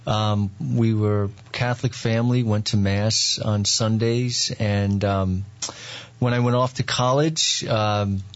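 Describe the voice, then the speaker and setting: A man with a New York accent, a priest, telling his story in an interview with many pauses and fillers. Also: fairly low pitch, steady tone, dull audio. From Long Island, New York. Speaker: priest, guest.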